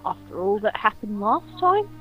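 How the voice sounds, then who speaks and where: A woman's voice: mid-range voice, very animated, normal speed, narrow, tinny audio, soft background music, natural voice. A woman playing an uneasy, doubting assistant to a mad doctor in an amateur horror radio play, wary and questioning. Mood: wary, doubtful.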